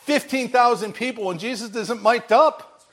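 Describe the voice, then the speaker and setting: A man's voice: extremely high pitch, normal speed, a bit bright. A man preaching a sermon with a big voice, telling a story with energy and acting out bits of dialogue. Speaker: pastor.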